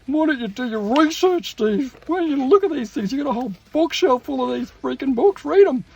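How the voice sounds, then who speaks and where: A man putting on a high, exasperated voice that scolds him for not doing his research. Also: lively intonation, brisk, vocal fry, a little mellow, lo-fi sound, exaggerated voice. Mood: scolding. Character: nagging scolder berating a lazy man.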